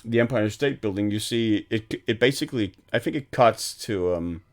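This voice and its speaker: A man's voice: on the low side, moderate pace. A man co-hosting a film podcast, retelling movie scenes in a rambling, casual way with many restarts and laughs. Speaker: podcast co-host.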